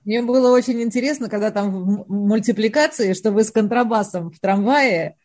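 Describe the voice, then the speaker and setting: A woman's voice: medium pitch, a little fast, darkish tone. A woman interviewing a guest, speaking appreciatively and asking follow-up questions in a friendly chat. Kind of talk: conversational interview.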